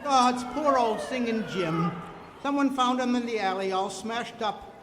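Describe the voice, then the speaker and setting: A man playing a brisk, bossy street police officer in old-time radio drama style. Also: very high-pitched, fairly bright tone. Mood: brisk, authoritative. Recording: noisy, echoey hall.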